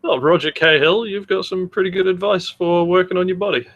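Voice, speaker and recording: A man's voice: high-pitched, brisk, fairly bright tone, highs cut off. A man with an Australian accent chatting casually as a podcast co-host, with a joking, sweary manner.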